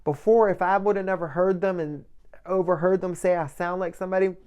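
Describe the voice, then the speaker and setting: A man with a light, soft voice giving a casual motivational monologue as a vlogger, often checking in with the listener. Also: high-pitched, steady tone, a little fast, smooth. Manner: conversational, checks in often with "right?" and "you know".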